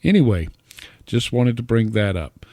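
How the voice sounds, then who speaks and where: A middle-aged or older man giving an informal, plainspoken microphone demo in short, deliberate phrases, speaking close to a dynamic microphone. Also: medium pitch, very animated, dark tone, no room sound. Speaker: amateur radio hobbyist reviewing microphones. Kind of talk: informal explanatory product demo narration. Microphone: close dynamic podcast or studio microphone.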